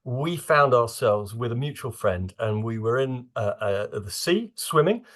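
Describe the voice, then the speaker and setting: A man's voice: mid-range voice, expressive, a little slow, fairly bright tone. A man born in Singapore who speaks as a forum guest, introducing himself with frequent fillers and hesitations. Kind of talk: casual online talk.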